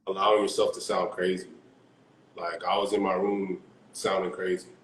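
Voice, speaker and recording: A man's voice: sits low, little pitch movement, normal speed, a bit bright, reverberant, a little hiss or hum, low-quality recording. A young man, a music artist and producer, talking casually on a video call from a small room at home, laid-back with lots of fillers.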